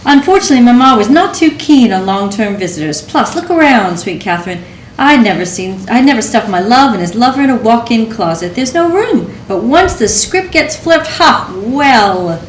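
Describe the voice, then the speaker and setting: A teasing, genial host, voiced by a woman, breezy and coaxing. Her pitch sits fairly high and swings widely. The room gives an echo, with faint noise behind. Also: animated, normal speed, close to an everyday voice.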